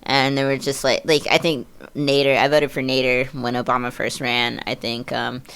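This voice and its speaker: Very low-voiced woman in her thirties. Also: little pitch movement, normal speed, a bit bright, clear, resonant tone. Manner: profane, slangy, blunt talk. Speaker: podcast guest. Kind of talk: casual chat.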